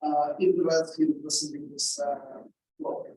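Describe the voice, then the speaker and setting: A male chaplain with an accent giving a deliberate teaching talk, putting questions to his listeners. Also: on the high side, monotone, darkish tone. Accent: non-native English accent. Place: large echoey hall. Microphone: distant from the mic. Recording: echoey hall from far off, faint noise.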